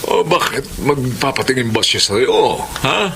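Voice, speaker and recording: A man's voice: mid-range voice, animated, unhurried, creaky, background noise. An actor playing an older, forgetful, evasive father in a radio drama, stammering and repeating his words. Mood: defensive. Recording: music behind the voice.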